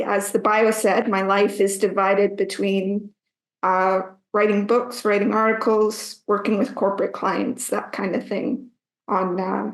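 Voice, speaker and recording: A woman in her thirties with a Canadian accent answers interview questions at a middle pitch. She explains things carefully, pausing to find her words. Her voice carries a noticeable room echo. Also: creaky. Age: 30s. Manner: measured, explains carefully with some hesitations. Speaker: guest, writer and public speaker.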